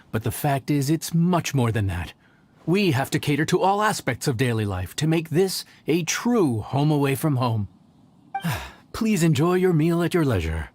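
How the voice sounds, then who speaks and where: A man voicing a calm, level-headed innkeeper and chef in a video game, speaking in a courteous way. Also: a little high.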